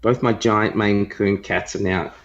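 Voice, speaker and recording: A man's voice: on the low side, steady tone, unhurried. An Australian man co-hosting a podcast, chatting casually with teasing interjections. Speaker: podcast co-host. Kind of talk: casual banter about films. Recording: dull lo-fi remote-call sound.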